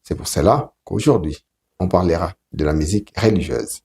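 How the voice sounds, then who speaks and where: A man with a Congolese French accent hosting a talk show interview in a halting, deliberate way. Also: sits low, animated, creaky, a little mellow, a little hiss or hum. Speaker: TV show host.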